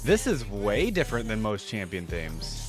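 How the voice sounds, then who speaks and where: A man's voice: fairly low pitch, huge pitch swings, moderate pace, music in the background. Casual man reacting as he watches. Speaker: reaction video host. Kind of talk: casual commentary while watching game videos.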